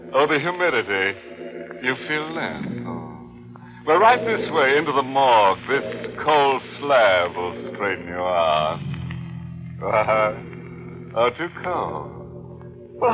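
An actor playing a mock-courteous, darkly teasing horror host on a 1940s radio mystery, theatrical and morbid. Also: male voice, medium pitch. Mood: gleefully ghoulish. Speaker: host and narrator. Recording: dull old radio broadcast with music.

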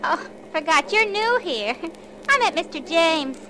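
A very high, girlish voice prattles as a dim young blonde gangster's moll. She is naive and chatty, with lively swings of pitch. It is an old radio drama with clear background noise. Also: unhurried, full character voice. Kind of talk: 1950s radio detective drama acting. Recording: noisy old radio recording.

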